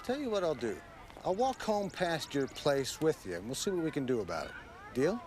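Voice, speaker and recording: Slangy 1930s teacher, boyish and modest, voice goes up and down, faint noise. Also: male voice, a little high, big pitch swings, brisk. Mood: friendly. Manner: slangy, boyish, self-deprecating. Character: eager, modest 1930s small-town male schoolteacher. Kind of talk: period television drama acting.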